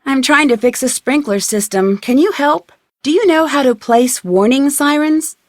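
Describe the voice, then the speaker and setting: An actress playing a polite, inquisitive young woman detective in a video game, speaking in a clear, friendly, conversational way. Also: fairly high pitch, no room sound, studio quality. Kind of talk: video game dialogue acting, isolated conversational lines.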